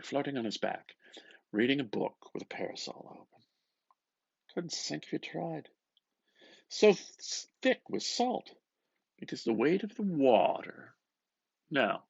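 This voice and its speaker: A man giving an expressive, theatrical reading of literary prose, lingering over his phrases in a slightly muffled recording. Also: medium pitch, vocal fry, husky, no room sound. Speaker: reader, artist. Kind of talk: dramatic literary reading aloud.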